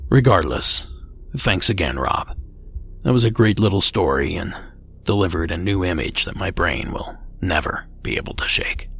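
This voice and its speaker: A man hosting a podcast, reading his script in a low-key, measured way. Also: creaky, husky. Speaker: podcast host.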